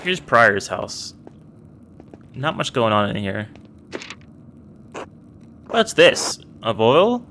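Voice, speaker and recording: Curious, chatty gamer. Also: male voice, mid-range voice, sing-song, extremely slow, pauses often, a bit bright. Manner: curious, thinking aloud. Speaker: let's play narrator. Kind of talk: casual game commentary. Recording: clear background noise.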